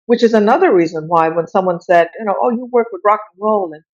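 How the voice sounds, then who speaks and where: A woman's voice: medium pitch, fast talker, a little mellow, dull audio. A middle-aged woman from Iran, a guest telling personal stories in a casual interview in an earnest, reflective way, often saying "you know".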